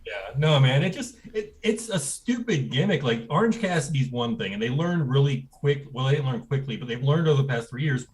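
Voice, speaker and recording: A man's voice: medium pitch, expressive, brisk, hardly any pauses, darkish tone, rough recording. Blunt, ranting man co-host. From Texas. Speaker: podcast co-host. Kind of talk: casual banter.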